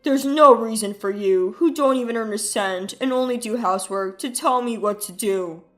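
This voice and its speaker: A woman's voice: on the high side, normal speed, fairly bright tone, soft background music, lightly acted. A woman voicing a boastful, defensive husband who is contemptuous of his wife, blustering through a dramatized family story. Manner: blustering, dismissive.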